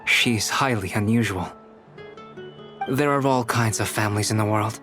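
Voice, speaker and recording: A man playing a calm, soft-spoken young scholar, an artificial human in a video game, speaking formally and reflectively. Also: on the low side, natural voice. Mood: calm, thoughtful. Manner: measured, reflective, formal diction. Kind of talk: scripted video game dialogue. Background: background music.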